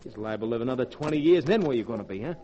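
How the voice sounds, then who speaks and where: A man's voice: mid-range voice, lively intonation, rapid-fire, warm tone, dull audio, a little hiss or hum, natural voice. An actor playing Eddie, a brash, wisecracking young male dancer in a 1940s radio drama. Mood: scornful. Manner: cocky, wisecracking, slangy.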